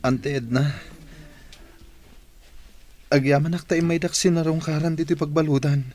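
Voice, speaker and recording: A man's voice: fairly high pitch, fairly even intonation, normal speed, a little mellow, lightly acted. A man with an Ilocano Filipino accent acting a remorseful, pleading prisoner in a radio drama.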